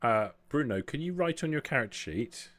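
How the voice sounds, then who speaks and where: A man's voice: mid-range voice, lively intonation, normal speed, fairly bright tone. A man working as game master of a tabletop game, with precise, formal wording.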